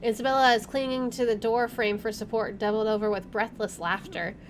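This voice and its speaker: A woman's voice: on the high side, steady tone, brisk, slight background noise. A woman gaming streamer reading visual novel narration aloud and adding casual asides.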